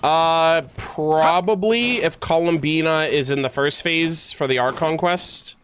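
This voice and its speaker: A man's voice: fairly high pitch, slow, fairly bright tone, slight background noise. A man streaming, chatting casually and rambling as he thinks aloud to his viewers. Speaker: livestreamer, host. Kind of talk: casual livestream chat. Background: music.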